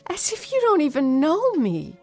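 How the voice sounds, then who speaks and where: A woman's voice: sits high, wildly swooping pitch, moderate pace, darkish tone, soft background music, exaggerated voice. An actress playing a determined, frightened fiancée in a radio drama, intense and dramatic, drawing out her phrases. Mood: wounded, insistent. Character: determined, frightened long-waiting fiancée, pleading then defiant.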